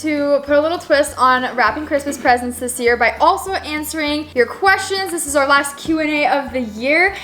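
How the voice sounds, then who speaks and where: A young woman with a bright, high voice chats casually at home as co-host, bubbly and quick to laugh. Her voice rings a little in an echoey room. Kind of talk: casual chat.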